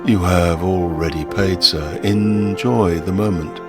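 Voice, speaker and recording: A devilishly solemn barman acted out by a man for an audio short story, with a very low voice. He is courteous and formal, weighing each word slowly and portentously, with music behind him. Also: steady tone. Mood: calm, ominous. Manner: slow, formal, deliberate, portentous.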